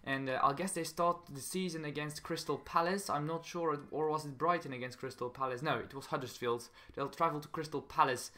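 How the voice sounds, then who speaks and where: Young belgian guy doing casual game commentary, kinda high bright voice, halting english. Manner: halting non-native English with repeated phrases. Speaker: gaming channel host.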